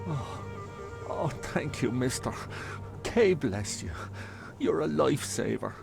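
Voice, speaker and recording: A man's voice: medium pitch, expressive, slow pace, creaky, darkish tone, faint music behind, heavily acted. An actor voicing a poor, humble family man in a video game, stammering and deferential like a desperate beggar. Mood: relieved, grateful. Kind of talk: video game cutscene dialogue.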